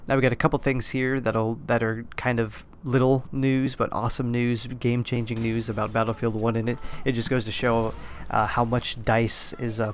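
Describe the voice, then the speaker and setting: Slightly hoarse man rambling about games. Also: mid-range voice, slight background noise. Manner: rambling, self-correcting, often unsure. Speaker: gaming commentator. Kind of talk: casual solo commentary on a game reveal.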